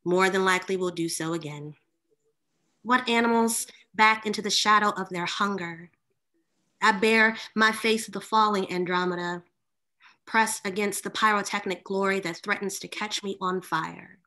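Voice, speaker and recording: A woman's voice: mid-range voice, steady tone, moderate pace, sharp tone. A woman in her thirties giving a poetry reading with casual asides, recorded at home over a video call. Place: room at home with bookshelves, indoors.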